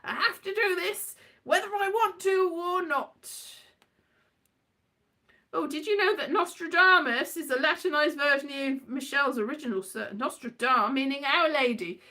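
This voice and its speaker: A woman's voice: high-pitched, moderate pace, bright, forceful tone. A British woman chatting casually in a whimsical, digressive monologue, often turning playfully dramatic. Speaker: performer and vlogger.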